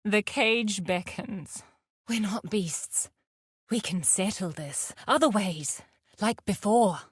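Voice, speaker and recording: A woman playing a fierce, guarded huntress in a video game, speaking in a deliberate, terse and solemn way. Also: mid-range voice, husky, dry recording, clean studio sound. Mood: grim, proud. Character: fierce, guarded huntress outlaw, wry nature lover. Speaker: video game character voice actor. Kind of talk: scripted game character lines.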